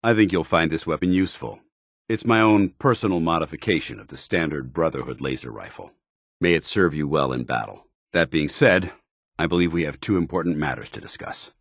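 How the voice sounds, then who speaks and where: An American actor playing a stern, disciplined armored soldier in a video game, speaking in a formal, clipped, commanding way. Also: male voice, rumbling low, brisk, dry recording, studio quality. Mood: formal, authoritative. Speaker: video game companion character. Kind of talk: scripted game dialogue and combat barks.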